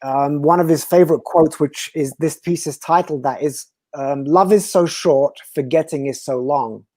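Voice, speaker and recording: A man from Belgium, a guest speaker talking earnestly and philosophically in slow, emphatic phrases. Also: fairly high pitch, a little mellow, dry recording. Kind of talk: reflective conversational talk.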